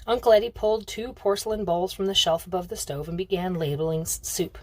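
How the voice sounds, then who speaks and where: A woman reading a novel aloud as expressive narration. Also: fairly low pitch, fairly quick, no echo. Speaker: reader of a novel.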